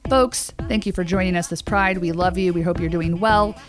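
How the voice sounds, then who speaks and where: Low-voiced American woman chatting on a podcast. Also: steady tone, moderate pace, a crowd in the background. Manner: chatty, opinionated, uses "like" often. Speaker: podcast co-host. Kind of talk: casual podcast chat.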